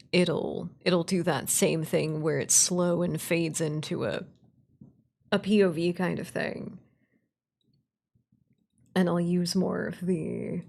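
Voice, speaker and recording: A woman's voice: lowish voice, creaky. A woman streaming casually as she works, talking in a playful, self-deprecating way. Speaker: streamer, writer and voice actor. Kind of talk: casual livestream chat while working.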